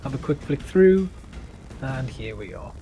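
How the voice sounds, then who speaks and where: A man's voice: medium pitch, very animated, unhurried, mellow, slight background noise. A man giving casual commentary as he plays through a video game. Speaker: gamer narrating a playthrough.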